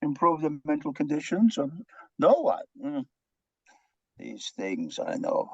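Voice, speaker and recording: Melancholy Austrian doctor, very animated pitch, husky voice, broken English, theatrical lament, dry dull recording. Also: male voice, unhurried, no echo, full character voice. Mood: despondent. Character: gloomy, self-pitying Austrian male psychiatrist, excitable. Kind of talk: play reading.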